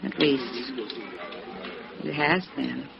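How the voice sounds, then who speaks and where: Creaky-voiced coy noir dame. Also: female voice, extremely low pitch, drawn-out words, heavily acted. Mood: cool, bored. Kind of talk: 1950s radio noir acting. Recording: dull old radio recording, music behind.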